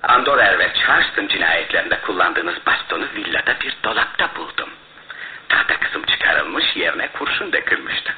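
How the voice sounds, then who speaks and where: An actor in a radio play as a shrewd, self-assured male detective who explains his case in a theatrical, deliberate way. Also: deep voice, animated, a little hiss or hum. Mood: triumphant, firm. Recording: muffled, roomy radio drama recording.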